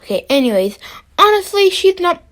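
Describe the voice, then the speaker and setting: A woman's voice: very high-pitched, sing-song, moderate pace, fairly bright tone. A woman giving informal, opinionated ranking commentary in a playfully dramatic way. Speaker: video host.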